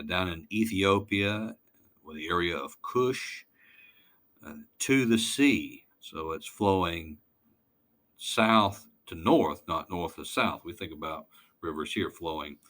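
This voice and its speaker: A man lecturing informally over slides, halting and deliberate, putting weight on key words. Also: deep voice, fairly bright tone.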